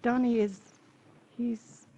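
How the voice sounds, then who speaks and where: A woman's voice: on the high side, little pitch movement, slow, mellow. An actress playing a lonely, fragile, devoted woman in 1950s radio drama style. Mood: anxious, protective. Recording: noisy, crackly old radio recording.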